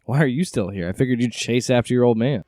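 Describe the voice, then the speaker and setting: A man's voice: mid-range voice, quick pace, mellow, lightly acted. A man playing a weary, sarcastic agency director in an audio drama, with a casual, exasperated manner. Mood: weary, wry.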